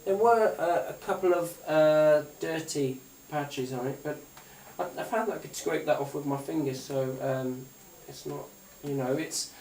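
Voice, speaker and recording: A man's voice: a little high, measured pace, few pauses. A man giving a casual, unscripted gadget demonstration in a rambling, hesitant way, often repeating his phrases. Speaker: gadget review YouTuber. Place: indoors at a tabletop, away from the mic. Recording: roomy, distant mic, faint hiss and clicks.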